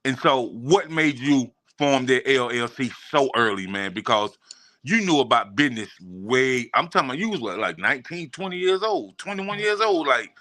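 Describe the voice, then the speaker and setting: Guy hosting a casual interview, sharp bright voice, slangy, repeats stuff for emphasis, pretty dry audio. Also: mid-range voice, expressive, normal speed, no room sound. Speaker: interview host.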